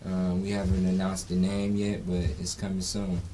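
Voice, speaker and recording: A man's voice: sits low, monotone, moderate pace. A man from the Detroit area chatting casually as a store owner, recorded from a distance with some noise. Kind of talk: casual interview chat. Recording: distant mic, background noise.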